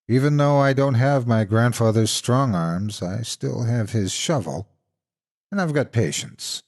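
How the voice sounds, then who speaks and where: An older man narrating from a script as a horror storyteller, in a measured, deliberate and expressive way. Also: fairly low pitch, husky.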